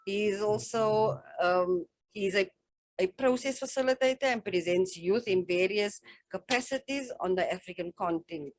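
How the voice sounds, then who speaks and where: Woman hosting an activist panel, mid-pitched voice, emphatic and appreciative, off the cuff. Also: moderate pace, no echo, low-quality recording. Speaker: session moderator. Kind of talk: spontaneous event moderation.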